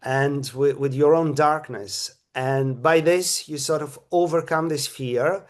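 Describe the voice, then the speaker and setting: A man's voice: a little high, takes it slowly. A middle-aged man, speaking at a press conference as a theatre director, who stops and restarts often and repeats his words. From Bulgaria. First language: Bulgarian.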